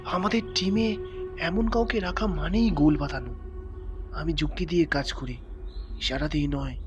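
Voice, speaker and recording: A man's voice: a little high, normal speed, dull audio, over music, natural voice. A man playing a skeptical, irritated junior investigator, tense and sarcastic, in an audio drama. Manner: tense, sarcastic, often hushed. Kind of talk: dramatic audio story acting.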